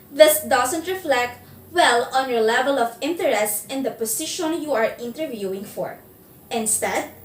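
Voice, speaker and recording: A woman presenting a scripted instructional video, giving phone interview tips in a measured, clear delivery. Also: high-pitched, reverberant, far from the mic, a little hiss or hum.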